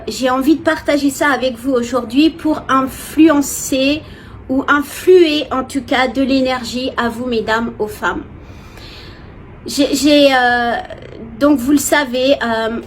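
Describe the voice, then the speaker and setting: Woman, high voice, casual personal testimony, expressive, hesitant, background noise. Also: low-quality recording. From France. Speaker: vlogger. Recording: clear background noise, ambient sound.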